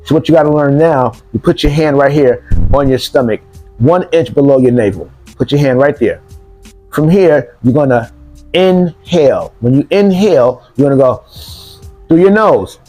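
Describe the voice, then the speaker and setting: A man's voice: on the high side, lively intonation, normal speed, mellow, faint music behind. A man teaching self-defense breathing exercises, repeating cues and counting breaths aloud. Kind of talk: demonstration lesson.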